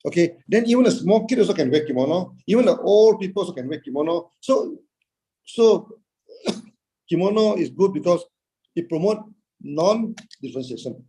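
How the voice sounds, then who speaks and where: A man teaching Buddhism over a video call, in a halting way, filling gaps with "okay" and "uh" and answering his own questions. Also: sits high, expressive, dark tone, husky, poor audio. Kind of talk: dharma talk.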